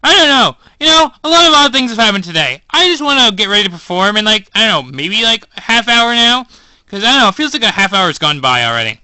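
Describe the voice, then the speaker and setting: A man's voice: high-pitched, sing-song, fast talker, sharp tone, clear, resonant tone, dry recording, pitched above the performer's own voice, full character voice, poor audio. A man voicing an eager male animatronic bear performer, who breaks into a stock showman catchphrase. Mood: chatty.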